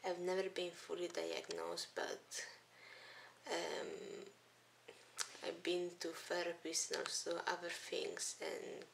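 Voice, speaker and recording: A woman's voice: lowish voice, completely flat delivery, takes it slowly, gravelly, slight background noise. A teenage girl from Italy with an Italian accent, talking hesitantly with many ums into a webcam in a small room. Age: teens. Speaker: vlogger. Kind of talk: casual intro vlog to webcam. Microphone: webcam at arm's length.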